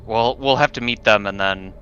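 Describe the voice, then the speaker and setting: A man's voice: lowish voice, normal speed, pushed and bright. A man playing a tabletop roleplaying game, talking casually in a tentative, hedging way. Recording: dull, faintly noisy room recording.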